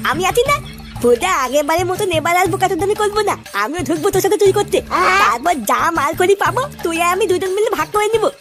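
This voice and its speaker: A woman's voice: very high voice, lively intonation, fast talker, music in the background. A woman voicing a bossy, foul-mouthed male petty thief in a comic cartoon, scolding impatiently.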